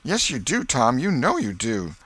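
A man's voice: medium pitch, huge pitch swings, normal speed, a bit bright, slightly rough, dry recording, voice pushed up, heavily acted. A man voicing a shy, sweet, naive little schoolgirl in a storybook, with a timid, hesitant manner. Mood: hurt.

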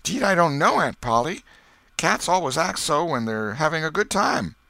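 A man's voice: fairly high pitch, big pitch swings, moderate pace, gravelly, bright, forceful tone, no echo, voice pushed up, exaggerated voice. A man doing a sly mischievous country boy. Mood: anxious. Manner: folksy drawl, eager pleading.

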